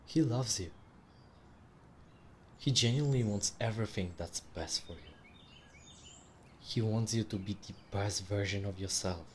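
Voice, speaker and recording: A man narrating a guided meditation in a measured, soothing, instructive way with long pauses between phrases. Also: lowish voice, slight background noise. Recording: clearly audible background ambience with birdsong. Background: birdsong and nature ambience.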